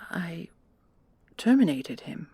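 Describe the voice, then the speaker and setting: A woman playing a proud, anxious female commandant in a sci-fi audio roleplay, formal and deferential, with a husky, breathy voice and halting delivery. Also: on the low side, big pitch swings, mellow, no echo, exaggerated voice. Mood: guarded, formal. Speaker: voice actress and creator.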